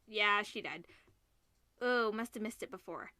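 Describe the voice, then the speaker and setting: Woman, casual let's-play reader, bright tone, dry recording, amused wry commentary. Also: mid-range voice, unhurried, no room sound. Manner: amused, wry asides between read passages. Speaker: streamer reading a visual novel aloud. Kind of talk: casual let's-play read-aloud with offhand reactions.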